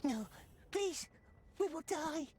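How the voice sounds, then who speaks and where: A man playing a small, wretched, pleading cave creature in a video game, with a raspy voice and a whining manner. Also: very high-pitched, expressive, slow, darkish tone, a little hiss or hum, full character voice. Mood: frightened pleading. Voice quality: raspy, creaky. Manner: whining, broken grammar. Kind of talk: video game character acting.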